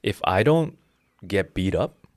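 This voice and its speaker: A man's voice: deep voice, animated, takes it slowly, no room sound, studio quality. A man in his thirties, a jiu-jitsu instructor, explaining his philosophy earnestly in a casual interview with frequent restarts. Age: 30s.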